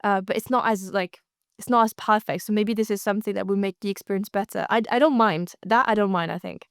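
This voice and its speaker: Woman talking news casually on a podcast, really clean smooth voice, kinda tentative, no room echo. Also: medium pitch, steady tone, quick pace, studio quality. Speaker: podcast co-host.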